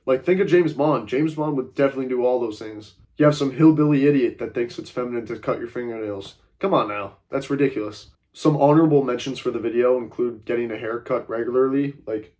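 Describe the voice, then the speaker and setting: A man's voice: medium pitch, fairly quick, few pauses, a little mellow. A young man who is a little congested, giving casual self-improvement advice in a rambling way, filling his talk with "like" and "uh" and speaking bluntly with the odd swear word. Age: young adult. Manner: rambling, frequent fillers like "like" and "uh".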